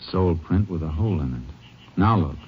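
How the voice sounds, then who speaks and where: Deep-voiced, calm Texas Ranger. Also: male voice, muffled recording, slight background noise. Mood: methodical, focused. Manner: measured, matter-of-fact, deliberate. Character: calm, methodical male Texas Ranger investigator. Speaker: lead actor and narrator in a western radio drama. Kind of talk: old-time radio western acting and narration. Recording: old radio recording with music.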